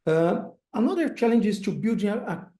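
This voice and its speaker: A man with a Brazilian accent, Portuguese as his first language, giving a webinar talk as an investor, halting and pausing often with fillers. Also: high voice, sing-song, dark tone, reverberant. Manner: halting, searching for words, frequent uh fillers. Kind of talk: webinar presentation.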